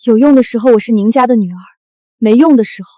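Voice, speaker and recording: An actress as a young woman in a short drama, wronged yet resilient. Her high voice is earnest and declamatory, recorded close and dry. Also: quick pace, dark tone, dry recording, natural voice. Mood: aggrieved. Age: young adult. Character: wronged, resilient young top student cast out by family.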